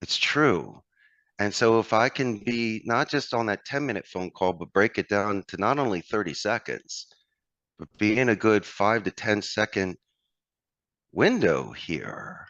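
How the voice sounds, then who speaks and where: Deep-voiced man with a Philly accent. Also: lively intonation, unhurried, a bit bright, dry recording, dull audio. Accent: Philadelphia. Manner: expansive, motivational, addresses host by name. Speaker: call center owner, guest. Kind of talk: conversational interview.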